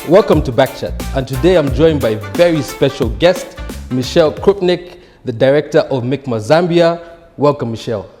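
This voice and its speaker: A male host with a mellow, mid-pitched voice asks his guest about business, keeping his own turns short. A long reverb hangs on the voice, with faint music underneath. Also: animated, moderate pace, few pauses. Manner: brief prompts and acknowledgements. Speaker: show host, interviewer. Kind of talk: interview questions.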